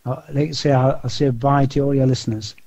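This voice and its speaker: A man's voice: mid-range voice, fairly even intonation, fairly quick, warm tone, dry recording. An older man with a Geordie accent, a guest in an interview, talking in a rambling way with repeated words and rhetorical questions. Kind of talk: casual phone-style interview talk.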